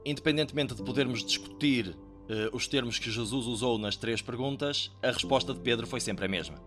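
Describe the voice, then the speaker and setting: A man's voice: mid-range voice, fairly quick, fairly bright tone, soft background music. A man with a European Portuguese accent, hosting a podcast and explaining a Bible passage in a conversational teaching tone, speaking directly to the listener. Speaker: podcast host explaining scripture. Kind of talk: reflective teaching talk.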